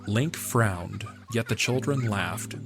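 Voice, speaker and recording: A man's voice: fairly low pitch, slow. A man reading scripted narration for a fantasy audio drama. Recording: music under the voice. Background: music.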